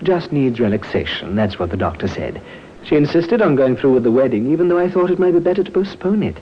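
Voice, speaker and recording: An actor playing a smooth-talking, scheming bridegroom who feigns devotion, solicitous and theatrical, in old-time radio drama style. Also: male voice, mid-range voice, very animated, fast talker, lightly acted. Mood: attentive, casual. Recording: muffled, noisy vintage radio recording.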